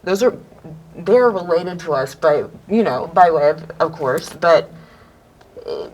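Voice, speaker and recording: A young woman with a very low voice makes her point in a panel discussion. She talks earnestly and fills her sentences with "like", and she gets higher when she is excited. Faint noise sits behind her. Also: a little slow, poor audio. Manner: earnest, many fillers, rises when excited.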